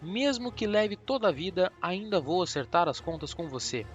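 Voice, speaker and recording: A man's voice: high-pitched, very animated, normal speed, dull audio, soft background music. A man voicing a captured German spy, bitter and threatening. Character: German male spy, captured, furious and vengeful.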